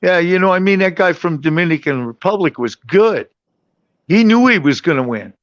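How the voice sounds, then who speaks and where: A man's voice: high voice, lively intonation, normal speed, no echo. A man in a casual boxing debate, speaking bluntly and insistently. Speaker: panelist. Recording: dull lo-fi line.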